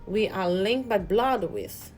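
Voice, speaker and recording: A woman's voice: medium pitch, very animated, takes it slowly, a little mellow, soft background music. A woman hosting a channel, giving an earnest, reflective spiritual motivational talk that often repeats and restarts words. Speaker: host.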